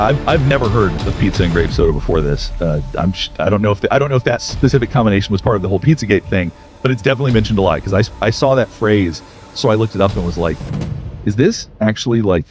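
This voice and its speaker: A man in a casual discussion, speaking in a halting way and restarting his sentences. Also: lowish voice, over music.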